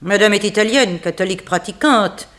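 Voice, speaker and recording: An actress plays an elderly housekeeper of seventy in a radio play. Her voice sits at a middle pitch, and she is frank, formal and composed. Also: moderate pace, a bit bright, natural voice. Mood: frank, matter-of-fact. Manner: matter-of-fact, formal. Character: composed seventy-year-old female housekeeper, frank and proper. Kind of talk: French radio drama acting.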